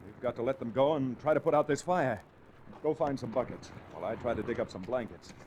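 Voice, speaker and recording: A man's voice: mid-range voice, fairly quick, close to an everyday voice. A man playing an earnest, firm lawyer-rancher hero and narrator in a 1940s radio western. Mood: urgent. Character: steady, principled lawyer-rancher hero of the Old West. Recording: noisy old radio recording.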